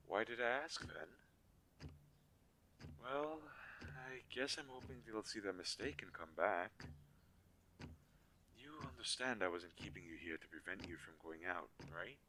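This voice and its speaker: A man playing a weary, protective magical inventor in an audio drama, speaking earnestly with halting pauses. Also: sharp tone, low-quality recording. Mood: weary, hopeful. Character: weary, protective male inventor-mage, creator of living dolls. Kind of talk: scripted audio roleplay drama. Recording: low quality, audible background hiss.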